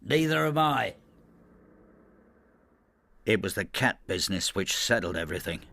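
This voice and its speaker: Bitter, gravelly, ageing husband. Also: male voice, fairly low pitch, sing-song, unhurried, sharp tone, heavily acted. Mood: wry, teasing. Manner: brooding, spiteful, dramatic. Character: bitter, vengeful ageing husband devoted to his cat. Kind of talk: first-person radio drama narration and dialogue.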